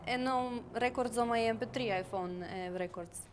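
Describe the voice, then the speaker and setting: Woman doing a naive lady job hunting, broken english, high-ish voice, faint hiss behind. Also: close to an everyday voice. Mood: earnest. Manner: broken English, halting, earnest. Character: naive divorced Russian woman job-seeker, earnest. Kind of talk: comedy sketch acting.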